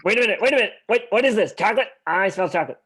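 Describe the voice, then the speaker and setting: Cartoon Rottweiler sidekick, read by a man, slightly high voice, casual, hesitant, wary of the boss. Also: expressive, racing, a bit bright, slightly rough, heavily acted. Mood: puzzled. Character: wary Rottweiler henchman, deferential to his boss. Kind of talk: animated film script table read.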